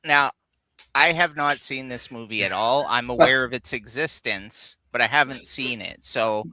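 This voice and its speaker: Male co-host, bright clean voice, close dry sound, conversational, a bit hesitant and self-correcting. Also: medium pitch, moderate pace, no echo, low-quality recording. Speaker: co-host of a video game countdown livestream. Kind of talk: casual unscripted chat.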